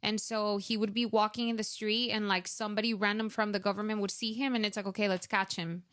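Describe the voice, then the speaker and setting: Young woman, smooth clear voice, dry close sound, chatty with lots of fillers. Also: medium pitch, flat delivery, quick pace, a bit bright, no echo. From Venezuela. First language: Spanish. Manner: chatty, many fillers, acts out her own past thoughts. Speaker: guest. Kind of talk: casual interview storytelling.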